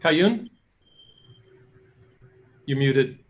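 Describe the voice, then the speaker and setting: A man's voice: fairly high pitch, animated, drawn-out words, muffled recording, slight background noise. A man moderating a thesis review over a video call, talking loosely with many fillers and restarts. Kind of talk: casual chairing on a video call. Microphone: computer mic on a video call.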